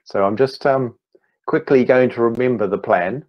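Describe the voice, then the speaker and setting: A man in his fifties with a New Zealand accent, giving an online talk as a meditation teacher, speaking slowly and deliberately with gentle pauses. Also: medium pitch, muffled recording. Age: 50s. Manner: slow, deliberate, gently pausing. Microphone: video call.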